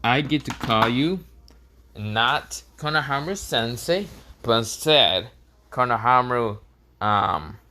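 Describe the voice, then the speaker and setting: A man's voice: mid-range voice, expressive, slow pace, pushed and bright, a little hiss or hum. A man voicing a cheeky young boy ninja in a fan story, teasing and pleading. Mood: taunting.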